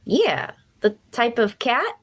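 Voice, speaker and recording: A woman's voice: a little high, big pitch swings, takes it slowly, full character voice. A woman playing a chatty, nosy and cheerful traveler in a fantasy audio drama, with a teasing, folksy manner. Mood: curious, warning. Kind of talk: dark fantasy audio drama table read.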